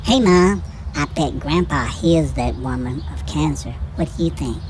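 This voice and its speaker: A woman's voice: low voice, measured pace, a little mellow, slight background noise. A woman playing a curious, caring young son in a hobby audio drama, earnest and full of questions.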